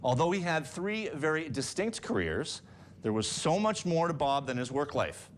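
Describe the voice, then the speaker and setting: A man's voice: a little high, very animated, moderate pace. Male news anchor reading, noisy recording. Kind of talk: reading a written tribute. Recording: hiss and ambient room noise.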